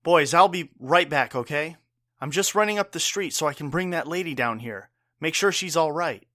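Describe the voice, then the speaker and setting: A gruff but caring bartender dad, played by a man, in an audio drama. He is plain-spoken and wry, recorded dry and close. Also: a little high, expressive, moderate pace, sharp tone, dry recording. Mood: urgent. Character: gruff, caring bartender father and former army medic.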